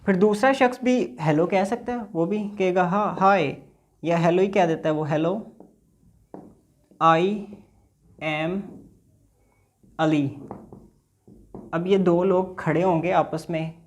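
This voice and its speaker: A man's voice: high voice, slow pace, pauses often. A man teaching basic English in a recorded lecture, patiently explaining and reading example dialogues aloud.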